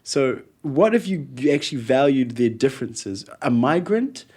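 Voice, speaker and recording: Kiwi guy chatting in an interview, warm mellow tone, acts out little scenes as he talks. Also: medium pitch, normal speed, husky. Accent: New Zealand. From Ethiopia. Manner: animated storyteller, acts out quotes, laughs often. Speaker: guest. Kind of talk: conversational interview.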